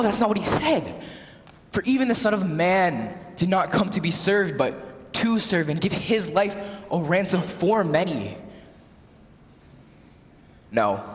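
In a big reverberant hall, a young man plays a tormented biblical fisherman wracked with guilt and grief. The voice is high and swings widely, with slow, theatrical phrasing in a stage monologue. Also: heavily acted. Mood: sorrowful, earnest. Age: teenager or young adult. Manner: theatrical, emotional, long pauses with swells into shouting. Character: guilt-ridden, grieving disciple of Jesus, a fisherman. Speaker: monologue performer in a talent competition. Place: large indoor hall, live on stage. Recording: echoey large hall, long reverb.

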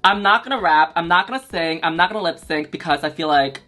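A man's voice: on the high side, animated, fast talker, bright, forceful tone. A man who hosts as a drag queen, asking teasing, leading questions in casual chat. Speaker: host. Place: studio room with blue backdrop.

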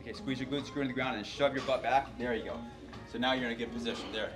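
A man talks fast and quick-fire as he coaches form in a big indoor gym, mixing instruction with teasing. Loud music plays behind him the whole time. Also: mid-range voice, fairly even intonation. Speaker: trainer. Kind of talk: coaching cues.

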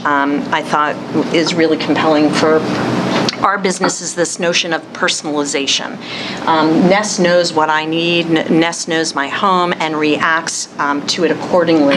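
A woman's voice: sits low, noisy background. A woman on a discussion panel, speaking in polished, upbeat industry terms with some fillers, at a table mic before an audience. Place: conference room with audience.

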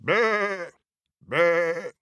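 A man's voice: high-pitched, drawn-out words, sharp tone, clean tone, no echo, clean studio sound, voice pushed up, exaggerated voice. A man voicing a wily, pleading middle billy goat brother who bleats between phrases, in a storybook telling. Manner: punctuates speech with bleats.

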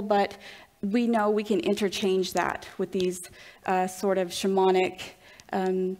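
Young southern gal giving a conference talk at a lectern mic, earnest and eager, lots of ums. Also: female voice, mid-range voice, darkish tone. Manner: earnest, enthusiastic, with fillers and pauses. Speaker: conference lecturer. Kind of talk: conference lecture with slides. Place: large dark conference hall, at a lectern.

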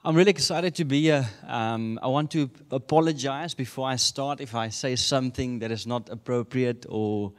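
A man's voice: mid-range voice, normal speed, hardly any pauses. A man in his thirties from South Africa, Afrikaans his first language, preaching a sermon with a South African accent on a handheld mic in a large church. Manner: chuckling often, building to emphatic declarations. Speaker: guest preacher. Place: large church sanctuary, indoors. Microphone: handheld microphone.

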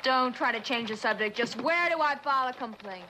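A woman's voice: a little high, a little fast, bright, forceful tone. A young woman playing a brash, streetwise teenage girl in an old television drama, sassy and challenging. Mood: indignant. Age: young adult. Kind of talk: 1960s television drama acting. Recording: noisy old TV soundtrack.

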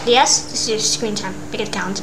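A woman's voice: medium pitch, steady tone, normal speed. A woman doing a playful, giggly amateur cartoon dub, ad-libbing hesitantly as she goes. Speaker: amateur voice-over performer. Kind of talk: hobby cartoon fan dub, improvised character lines. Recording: noisy home recording.

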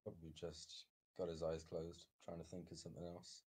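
A man hesitantly and quietly describing his character as a player in an online roleplay. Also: low-pitched, completely flat delivery, brisk, husky. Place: online voice call.

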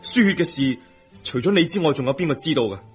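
A man's voice: medium pitch, lively intonation, fast talker, faint music behind, natural voice. An actor playing an insistent, demanding young man in a Hong Kong Cantonese radio drama, questioning in an urgent, pressing way.

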